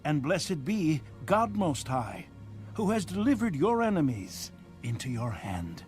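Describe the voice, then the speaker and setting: Man voicing a slow, high-pitched solemn priest-king. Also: very animated, darkish tone, soft background music. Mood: reverent, exalted. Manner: slow, exalted, declamatory. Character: solemn priest-king giving a reverent blessing.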